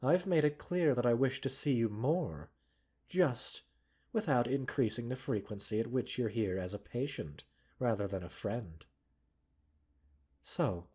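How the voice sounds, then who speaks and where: A man playing a gentle, caring doctor in a roleplay ASMR, speaking softly and breathily with measured, polite, gently chiding formality. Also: mid-range voice. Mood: fond, reassuring. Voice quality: soft, breathy. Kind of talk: roleplay ASMR, scripted character acting.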